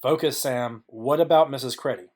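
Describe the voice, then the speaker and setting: A man's voice: medium pitch, normal speed, a little mellow, natural voice. A man playing a wry, businesslike private detective narrating and questioning in a noir audio play. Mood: businesslike probing.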